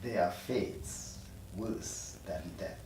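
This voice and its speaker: A man's voice: lowish voice, drawn-out words, darkish tone, a little hiss or hum. A man playing an unruffled, instructive medical supervisor in a drama table read. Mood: grave. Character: matter-of-fact male medical supervisor in the afterlife. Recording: echoey room, distant mic.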